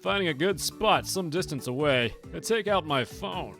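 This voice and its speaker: A woman streamer reading a visual novel aloud with expressive, dramatic storytelling. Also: deep voice, moderate pace, vocal fry. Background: game music.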